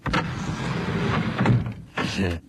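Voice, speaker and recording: An actor playing a bitter, tipsy servant muttering sardonically to himself in a radio horror drama. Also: male voice, drawn-out words. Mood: bitter, mocking. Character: bitter tipsy servant toasting and cursing his dead master. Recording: faintly noisy old radio drama recording.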